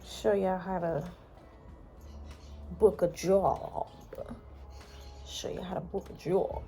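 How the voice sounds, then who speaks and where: An American woman vlogger chatting casually in a playful, sassy, slangy way, her voice slightly hoarse. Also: fairly low pitch, fairly even intonation, slow pace, mellow, faint music behind. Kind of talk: casual chatty day-in-the-life vlog.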